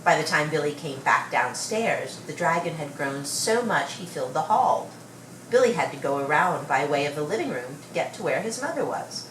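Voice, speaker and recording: A woman reading a children's story aloud with expressive, measured storytelling. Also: low-pitched. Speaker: storybook reader. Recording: roomy, distant mic, faint noise.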